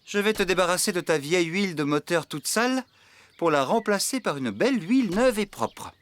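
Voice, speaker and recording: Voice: mid-range voice, expressive, fairly quick, no echo, natural voice. An actor playing a chatty, muddle-headed, good-natured farm helper in a children's cartoon dub. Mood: busy, muddled. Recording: loud music behind the voice.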